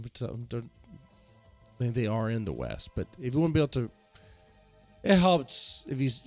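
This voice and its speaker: A man's voice: mid-range voice, darkish tone. A man hosting a reaction video, rambling casually with many fillers and restarts.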